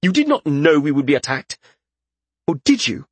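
An indignant young lord as a male audiobook reader plays him speaks high and formally. He scolds and frets with haughty impatience in a fantasy tale, recorded dry. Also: sing-song, moderate pace, darkish tone, husky, no room sound. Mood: angry. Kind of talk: audiobook fantasy character acting.

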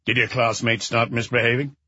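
A man narrator plays a calm, patient police inspector in an audiobook, with a husky, mid-pitched voice. His questions are short, probing and encouraging. The sound is very dry. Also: brisk, no echo, highs cut off.

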